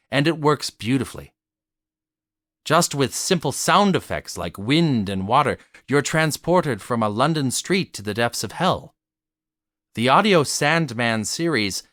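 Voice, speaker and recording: Man with lively, swooping delivery, scripted essay. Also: mid-range voice, dry recording, clean studio sound. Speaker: video essayist and theatre educator. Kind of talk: scripted video essay narration to camera.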